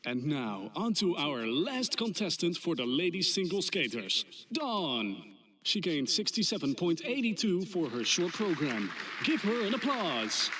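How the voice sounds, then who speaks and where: A man voicing an upbeat, formal skating competition announcer in a visual novel game, with measured, showy delivery. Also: fairly high pitch, sing-song. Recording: faint crowd noise behind.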